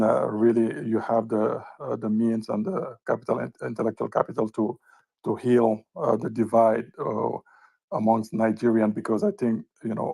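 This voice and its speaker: A man with a Francophone West African accent and a gravelly, husky voice. His pitch stays fairly flat as he puts a long impromptu question, halting often and repeating words. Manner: halting, searching for words, repetitive. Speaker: audience questioner.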